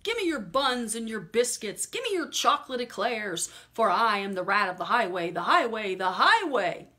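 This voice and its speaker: A storybook highwayman rat, acted out by a woman reader. He is greedy and rude, and he delivers his demands in a loud, commanding, drawn-out bully's voice. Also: very animated. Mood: thundering.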